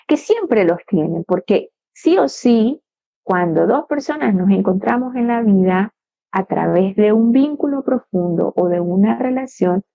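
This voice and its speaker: A middle-aged woman co-hosting a reflective conversation, speaking calmly and thoughtfully. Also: medium pitch, unhurried, gravelly. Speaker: podcast co-host. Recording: dry, slightly dull audio.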